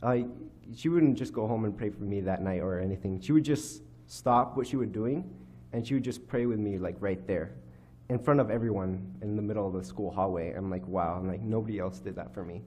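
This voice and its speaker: A teenage boy speaks into a lectern microphone in a church hall, telling his testimony in a halting way, full of "uh" and "like." His voice is on the low side and mellow, never sharp. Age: teens. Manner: halting, many fillers and restarts. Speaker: youth giving a church testimony. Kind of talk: personal testimony speech. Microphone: microphone on a lectern stand.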